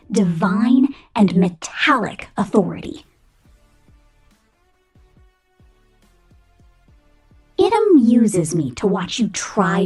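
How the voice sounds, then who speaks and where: A woman's voice: mid-range voice, darkish tone. A woman voicing a cruel, domineering female robot supervisor in an ASMR roleplay, condescending and menacing, often dropping into whispers. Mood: cold, domineering. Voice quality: breathy. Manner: condescending, menacing, slow theatrical delivery with frequent whispers.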